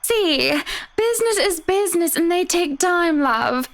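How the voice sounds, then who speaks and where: An actress voicing a gracious, doting married woman in a visual novel, solicitous and effusive, with a gently chiding manner. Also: very high voice, expressive, measured pace, heavily acted.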